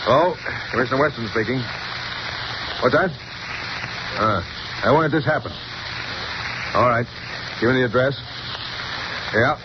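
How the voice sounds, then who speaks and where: A man's voice: medium pitch, a little slow, pauses often, lightly acted. A man playing a brusque, harried police commissioner in a 1930s radio drama, hemming with "uh". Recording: dull old radio broadcast with hiss.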